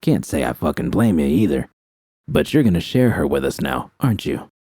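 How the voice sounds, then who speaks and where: A man's voice: extremely low pitch, very animated, dark tone, husky, no echo, studio quality, pitched below the performer's own voice, full character voice. A man voicing a cruel, mocking gang boss in an audiobook, with a taunting, sneering way of talking. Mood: menacing leering. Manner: taunting, sneering, drawn-out talk. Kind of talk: audiobook character dialogue.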